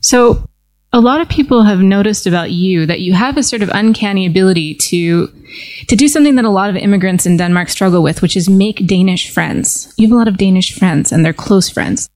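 A woman's voice: mid-range voice, brisk, darkish tone, dry recording. A woman hosting a casual roundtable chat, asking friendly follow-up questions with the odd "um". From United States. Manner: friendly, asks follow-up questions, frequent "um" and "sort of". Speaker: podcast host.

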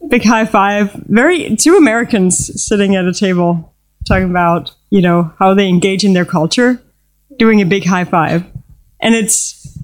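A woman chatting casually at a table with friends, lively and repeating her points. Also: medium pitch, moderate pace. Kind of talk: casual conversation. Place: seated at a table with others.

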